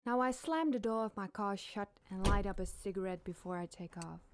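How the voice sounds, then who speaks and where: A woman's voice: medium pitch, brisk, no echo. A woman reading a scripted scary campfire story as a podcast storyteller.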